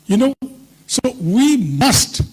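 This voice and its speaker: A man's voice: very high-pitched, big pitch swings, slow, darkish tone, husky. An older Indian man with an Indian English accent giving an emphatic, self-assured conference speech with chatty asides, his voice slightly hindered by a tooth infection. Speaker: conference speaker. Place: conference hall.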